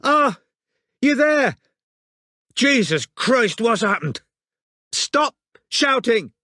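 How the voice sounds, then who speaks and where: A man's voice: extremely high pitch, slow, a bit bright, no room sound, clean studio sound, pitched above the performer's own voice, exaggerated voice. A man voicing an injured young woman who gasps and pleads. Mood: shaken gasping. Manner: breathless, gasping.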